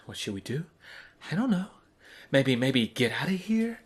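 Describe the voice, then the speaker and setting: A man's voice: mid-range voice, very animated, normal speed, close to an everyday voice. An American man acting in an audio drama as a panicky, sarcastic, paranoid boyfriend who stammers and swears in exasperation. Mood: panicky, urgent. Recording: clear background noise, phone-diary sound.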